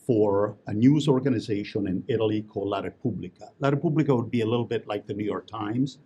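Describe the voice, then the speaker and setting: A man's voice: on the low side, fast talker, warm tone, lo-fi sound, slight background noise. A man talking as an interview guest, telling stories in a chatty way with many fillers and restarts. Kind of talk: casual interview conversation.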